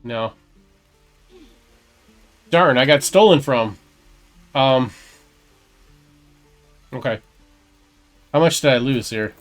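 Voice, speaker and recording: A man's voice: medium pitch, very slow, pauses often, faint music behind. A man doing casual video game playthrough commentary, muttering to himself as he plays. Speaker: gaming streamer.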